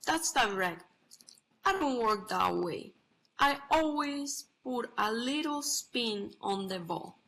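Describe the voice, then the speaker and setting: A woman voicing a slow, wry attendant. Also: on the high side, lively intonation, fairly bright tone, heavily acted. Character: wry hard-nosed attendant.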